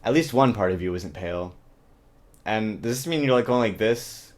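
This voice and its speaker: A man's voice: fairly low pitch, animated, moderate pace, a little mellow. A man reading a novel aloud in a theatrical, exaggerated way and adding sarcastic comic asides, recorded on a webcam. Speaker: amateur reader and comic commentator. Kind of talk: mocking dramatic book reading with sarcastic asides. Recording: choppy amateur webcam recording.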